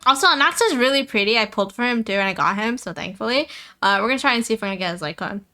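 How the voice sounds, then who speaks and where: Female game streamer, bright higher voice, chatty and playful, faint music behind. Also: animated, racing. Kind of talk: casual live-stream chat.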